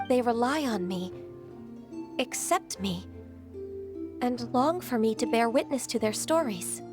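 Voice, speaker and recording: An actress voicing a gentle, ageless, half-divine secretary in a video game, soft-spoken and earnest. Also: female voice, on the high side, lively intonation, measured pace. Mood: reflective. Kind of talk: game voice acting. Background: game soundtrack music.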